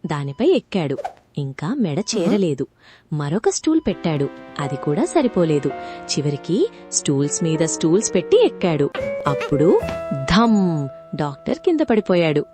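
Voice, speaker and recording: A woman narrating a scripted children's story in an expressive way and speaking directly to the kids listening. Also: deep voice, moderate pace, long pauses, over music.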